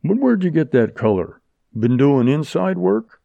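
A man voicing rough, rowdy Western outlaws who taunt and challenge, in an audiobook. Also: fairly high pitch, sing-song, a little slow, dry recording, narrow, tinny audio, pitched above the performer's own voice, exaggerated voice. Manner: brash, taunting.

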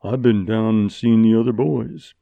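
Storybook role: male reader as lean outlaw, unemotional, plain-spoken frontier manner, gravelly edge, quietly menacing. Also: fairly even intonation, normal speed, no echo, phone-quality sound. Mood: matter-of-fact. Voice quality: booming bass.

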